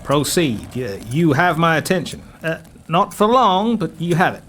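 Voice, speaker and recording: A man's voice: fairly high pitch, sing-song, normal speed, noisy background. A man playing a brusque, impatient, dismissive President of the United States in an audio drama. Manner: dismissive, commanding. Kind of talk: fan-made audio drama acting.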